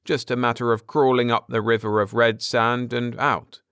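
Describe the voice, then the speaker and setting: A man reading a science fiction story aloud as an audiobook narrator, in a measured, deliberate, dramatic manner. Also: mid-range voice, little pitch movement, fairly bright tone, smooth, no echo, clean studio sound. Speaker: audiobook narrator and channel host.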